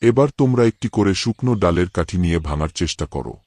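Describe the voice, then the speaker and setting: A man's voice: low voice, expressive, quick pace, darkish tone, no echo. A man voicing a hardworking old village farmer who lectures his quarreling sons in an earnest, fatherly way. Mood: calling.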